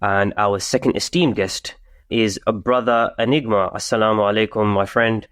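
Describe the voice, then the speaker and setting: Casting: male podcast host, low voice, dry close sound, measured and formal delivery. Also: fairly even intonation, fairly bright tone, smooth, no echo. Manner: measured, formal welcoming tone with Arabic greetings. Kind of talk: podcast hosting with scripted intro.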